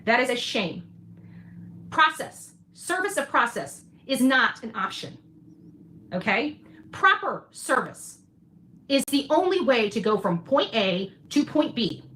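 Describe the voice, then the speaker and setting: Female livestream monologist, mid-pitched, emphatic and repetitive, with noisy replayed audio. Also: big pitch swings, takes it slowly, a bit bright.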